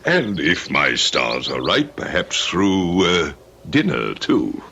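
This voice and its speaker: An actor playing a genteel, lonely English lord in an old radio drama, with courtly, hesitant, formal speech and an English accent. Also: male voice, sits low, huge pitch swings, takes it slowly, gravelly, bright, forceful tone, full character voice. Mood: hospitable, wistful. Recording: noisy vintage radio recording with clicks.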